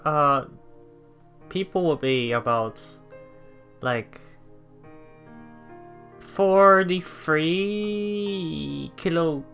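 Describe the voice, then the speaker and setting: A let's play streamer reading a visual novel aloud and adding casual, animated, reactive commentary. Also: mid-range voice. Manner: animated, reactive, laughs often. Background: game music.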